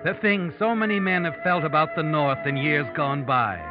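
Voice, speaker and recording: An actor playing an ominous, knowing narrator of a 1940s radio suspense drama, speaking in a dramatic, deliberate, menacing way. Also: male voice, on the high side, natural voice. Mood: ominous, taut. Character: ominous, knowing male narrator taunting a guilty man. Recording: dull old radio recording, loud music.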